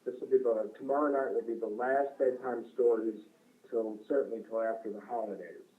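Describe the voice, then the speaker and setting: A man's voice: mid-range voice, steady tone, a little fast, narrow, tinny audio. A man hosting a live online story broadcast for children, addressing the young listeners directly.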